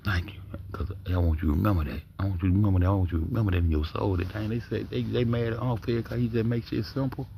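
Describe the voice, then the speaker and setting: A man hosting a livestream with a big deep voice, talking low and dropping to a near-whisper as he reads text aloud, then riffing in loose, profane commentary. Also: fairly even intonation, rapid-fire, creaky, hardly any pauses, dark tone, a little hiss or hum. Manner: talks low, often drops to a near-whisper. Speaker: livestream host.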